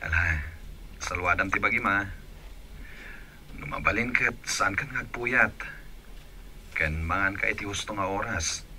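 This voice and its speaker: An actor with a Filipino accent playing a caring, earnest young boyfriend in a radio drama. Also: male voice, lowish voice, measured pace, long pauses, bright, forceful tone, a little hiss or hum. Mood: caring, attentive.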